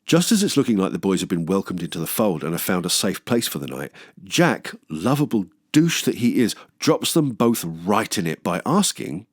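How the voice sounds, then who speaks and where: Lively, low-voiced man doing film commentary. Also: normal speed. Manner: wry, joking, sweary, acts out imagined quotes. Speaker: host of a film review show. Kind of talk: film commentary, mixing scripted analysis with casual asides.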